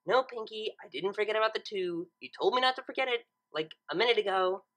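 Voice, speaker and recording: A woman's voice: medium pitch, fairly quick, a bit bright, pitched above the performer's own voice, lightly acted. A woman voicing a skeptical, bookish female cartoon pony, sarcastic and easily flustered, with an emphatic way of talking. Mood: dry, exasperated.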